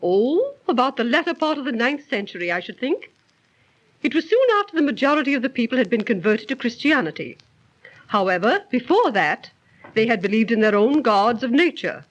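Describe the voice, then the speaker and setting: Genteel aunt, lilting high voice. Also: female voice, big pitch swings, fairly quick. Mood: storytelling. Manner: proper, precise, storytelling. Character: gently scolding, genteel aunt telling a tale. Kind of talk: 1930s radio drama acting. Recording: dull, lo-fi 1930s radio recording.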